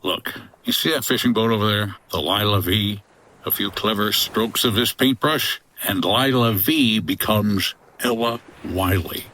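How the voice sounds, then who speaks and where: A man's voice: on the low side, a little slow, bright, forceful tone. A man playing a wry, tough 1950s boat skipper in a comedy audio drama, talking in a clipped hardboiled way. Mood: scheming, wry.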